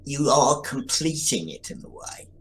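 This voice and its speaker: A man's voice: mid-range voice, big pitch swings, a little slow, fairly bright tone. A man with a mid-range voice explaining his craft as a writer on a podcast. He speaks thoughtfully and in full sentences, over a faint background hiss. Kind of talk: podcast interview answer.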